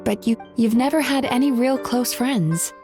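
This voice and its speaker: A woman voicing a poised, gentle dream therapist in a video game, with a composed, explanatory delivery. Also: a little high, normal speed, over music, close to an everyday voice.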